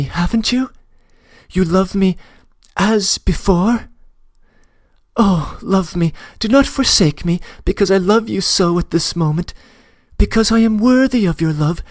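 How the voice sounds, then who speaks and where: A male reader voices a tearful young woman who begs forgiveness and swears her love. Her voice sits well above his own and leans forward with emotion. The delivery is slow, and the recording is close and dry. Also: high voice, no room sound, pitched above the performer's own voice. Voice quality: emotional, imploring. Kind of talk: audiobook reading.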